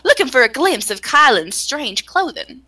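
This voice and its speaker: A woman with a high, very animated voice reads a visual novel aloud as narrator. She is giggly and self-mocking, breaking off into casual asides with friends. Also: moderate pace. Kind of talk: casual group read-aloud, narration mixed with joking.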